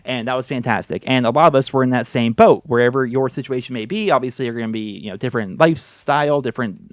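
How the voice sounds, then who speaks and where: A man's voice: mid-range voice, fast, dry recording, studio quality. A young man chatting casually as a gaming YouTuber, rambling and candid with lots of "you know" and "right". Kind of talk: casual commentary video.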